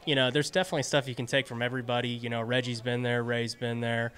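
Brief: young male infielder, earnest and modest, mid-pitched, handheld mic. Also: flat delivery, quick pace, a bit bright, smooth. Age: young adult. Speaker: baseball infielder. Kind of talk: post-game interview. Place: indoors in front of a sponsor backdrop. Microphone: handheld interviewer mic.

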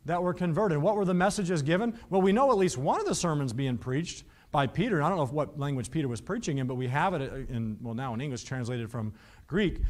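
A man in his thirties preaching a Bible teaching on a studio stage, reading verses aloud and asking the listeners rhetorical questions. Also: on the high side. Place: studio stage with painted backdrops.

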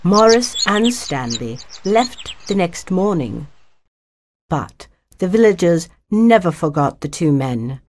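Low, expressive woman storyteller. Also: slow pace. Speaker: narrator. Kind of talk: scripted children's story narration.

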